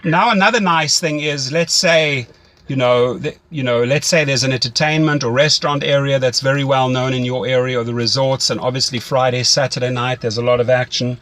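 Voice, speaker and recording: A man's voice: medium pitch, steady tone, brisk, a bit bright. A man with a mid-range voice talks as a coach. He explains things step by step in a conversational, instructive manner, repeating phrases to drive points home. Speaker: rideshare driving coach. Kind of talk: conversational phone mentoring.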